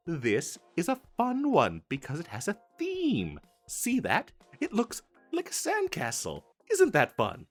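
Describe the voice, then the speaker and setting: Man acting a eager wizard merchant, very animated pitch, slightly husky, hesitant comedic fantasy patter. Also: a little slow, few pauses, faint music behind, heavily acted. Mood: enthusiastic. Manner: chatty, stammering, hesitant sales patter. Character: eager, pushy wizard salesman hawking magical castles. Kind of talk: scripted comedic fantasy roleplay.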